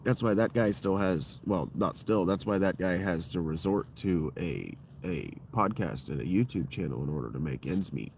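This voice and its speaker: A man with a deep voice hosting his own livestream, talking in a loose, digressive and profane monologue that keeps circling back on itself. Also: expressive, normal speed, vocal fry, hardly any pauses, a little mellow, a little hiss or hum. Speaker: livestream host. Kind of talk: rambling solo livestream monologue.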